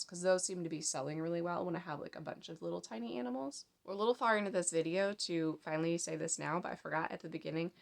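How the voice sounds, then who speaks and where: A woman's voice: on the low side, gravelly. A woman in her thirties with a slight cold, chatting casually about her handmade work in a rambling, friendly way. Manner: chatty, rambling, lots of fillers. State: hoarse from a cold. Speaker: crochet pattern designer and vlogger. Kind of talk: casual show-and-tell chat. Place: home craft room in daylight.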